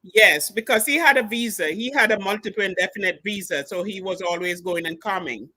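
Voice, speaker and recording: Hesitant woman caller, bright dry voice. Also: mid-range voice, dry recording. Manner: hesitant, halting, many fillers. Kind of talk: call-in question.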